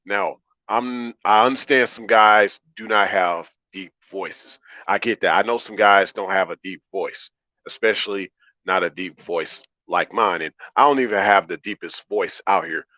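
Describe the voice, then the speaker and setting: A man with a deep baritone voice talking calmly and conversationally as a livestream host, repeating phrases for emphasis. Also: unhurried. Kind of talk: conversational livestream monologue, answering chat. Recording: roomy, slightly dull lo-fi audio.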